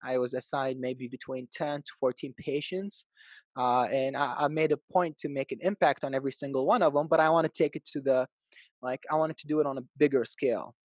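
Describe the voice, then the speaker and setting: A man in his thirties from Iraq, a podcast guest telling his life story in an upbeat, animated way. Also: mid-range voice, brisk, no echo. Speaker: podcast guest, real estate agent. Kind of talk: casual interview storytelling.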